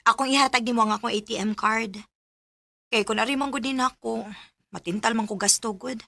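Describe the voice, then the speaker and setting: Caring young girlfriend for Bisaya radio drama: high, bright voice, dry close sound. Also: fairly quick, vocal fry, no room sound. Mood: sweet, coaxing. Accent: Filipino, Cebuano Bisaya.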